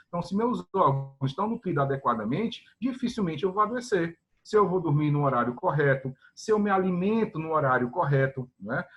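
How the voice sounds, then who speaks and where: A man's voice: a little high, a bit bright, muffled recording. A man from northeastern Brazil, a guest professor giving an explanatory talk over a video call, often closing his phrases with tag questions aimed at his students. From Ceará, Brazil. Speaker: guest professor of Chinese medicine.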